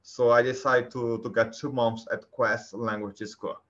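A man from Brazil with a Brazilian Portuguese accent, answering interview questions in a halting way with many fillers. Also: mid-range voice, flat delivery, fairly bright tone. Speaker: guest. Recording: dull lo-fi sound.

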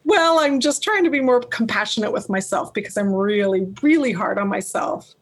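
A woman artist and color teacher being interviewed, talking loudly and passionately and laughing often. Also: medium pitch, expressive, normal speed. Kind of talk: podcast interview chat.

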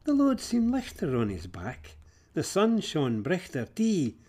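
A Scottish man narrating fables in Scots verse, theatrical and expressive, moving between hushed drops and emphatic swells. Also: fairly high pitch, unhurried.

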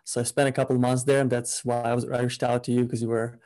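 Mellow-voiced man from Ukraine. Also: mid-range voice, quick pace. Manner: relaxed, upbeat, laughs easily. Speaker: guest, e-commerce entrepreneur. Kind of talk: casual interview chat.

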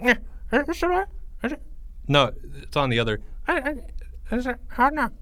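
A man voicing a frantic, stammering schoolboy with a high, squeaky voice. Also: wildly swooping pitch, moderate pace, bright, forceful tone, dry recording, heavily acted. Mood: groggy. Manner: frantic, repeats words, later groggy and slurred. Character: anxious schoolboy Jeffrey, panicky and babbling.

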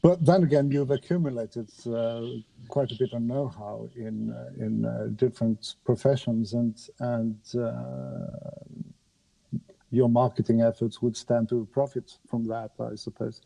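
A man hosting a conversational podcast on a mobile phone, courteous and halting, with rather formal wording. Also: mid-range voice, fairly even intonation, gravelly, mellow, low-quality recording. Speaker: podcast host. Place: remote conversation over a podcast app on a mobile.

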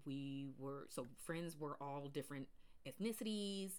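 Deep low voice woman from the pacific northwest, chatty solo podcast, lots of ums and restarts. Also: a little slow, a little mellow, smooth. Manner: rambling, many fillers and restarts. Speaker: podcast host.

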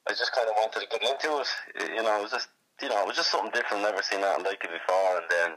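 An Irish man answers interview questions casually. His voice sits on the low side, with a very bright, tense edge. He circles back over phrases and peppers his talk with little check-ins. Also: rapid-fire, low-quality recording. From born in Iraq, moved to Ireland as a child. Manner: repetitive, conversational, peppered with "you know". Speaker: interview guest, hurler.

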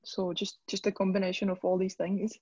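Woman in her 30s, even and flat delivery, dull dry line. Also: medium pitch, fairly quick, breathy edge, no room sound. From Czech Republic. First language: Czech. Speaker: guest, tour business owner. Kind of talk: conversational interview.

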